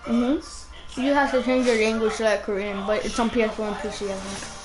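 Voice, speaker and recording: A woman's voice: fairly high pitch, level tone, a little fast, low-quality recording. A woman streaming a video game, chatting casually and giggling as she plays, talking into a gaming mic. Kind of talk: casual chat while playing. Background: game music.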